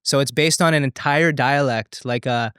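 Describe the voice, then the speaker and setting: Male comedian guest, Russian first language, mid-range voice, smooth and resonant, quick jokey delivery. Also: mid-range voice, a bit bright, no room sound, studio quality. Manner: joking, emphatic, fast quips. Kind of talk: casual conversation. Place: podcast studio.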